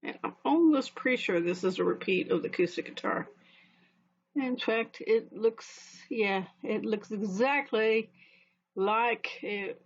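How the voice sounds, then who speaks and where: A woman's voice: mid-range voice, muffled recording. A woman vlogging from her apartment, rambling casually with many fillers and restarts as she talks over a screen recording. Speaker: vlogger documenting guitar lessons. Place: home apartment.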